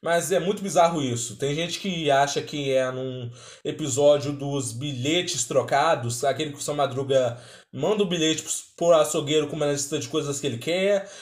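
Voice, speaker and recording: A man with a Brazilian accent giving casual, conversational reaction commentary as the host of a video. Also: fairly high pitch. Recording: roomy, echoey sound.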